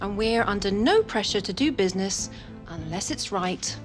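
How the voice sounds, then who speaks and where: A woman's voice: medium pitch, expressive, moderate pace, natural voice. A woman acting an unyielding, businesslike football club negotiator in a video game cutscene. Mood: firm, cool. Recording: faint music behind.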